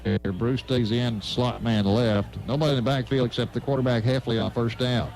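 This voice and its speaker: A man's voice: medium pitch, fairly even intonation, normal speed, darkish tone, faint music behind, poor audio. An American man doing live radio play-by-play commentary of a football game.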